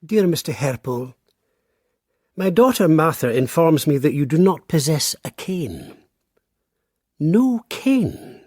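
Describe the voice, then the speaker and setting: A man's voice: fairly high pitch, very animated, slow, pauses often, a little mellow, husky, dry recording, clean studio sound, full character voice. A man acting an outraged, moralizing British father in a radio drama, reading out a complaint letter in a pompous, wordy way. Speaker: actor.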